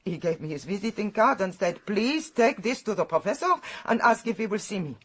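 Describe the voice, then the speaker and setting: A haughty French housekeeper in a radio mystery, middle-aged and fiercely proud. Her pitch moves dramatically up and down, giving her guarded, impatient words an anguished edge. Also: expressive, brisk, breathy edge. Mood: agitated recounting. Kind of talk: radio detective drama acting.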